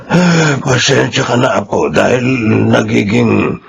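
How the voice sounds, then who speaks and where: A man's voice: mid-range voice, lively intonation, measured pace, vocal fry, noisy background. A man playing a sickly, apologetic old grandfather in a radio drama.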